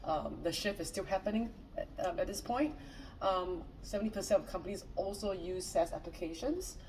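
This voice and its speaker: Woman from Singapore, mellow and distant. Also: fairly low pitch, fairly quick, slight background noise. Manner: upbeat, friendly, frequent fillers. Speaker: guest. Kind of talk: casual interview chat.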